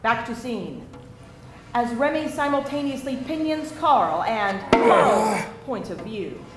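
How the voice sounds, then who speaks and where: A woman's voice: on the high side, animated, takes it slowly, loud music behind the voice. A woman narrating stage directions from a script at a live table read. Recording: echoey room with faint hiss.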